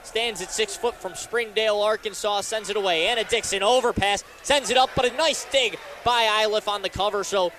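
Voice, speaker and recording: A man's voice: high-pitched, a little fast, hardly any pauses, bright, forceful tone, slight background noise. A young man calling live volleyball play-by-play as a radio announcer.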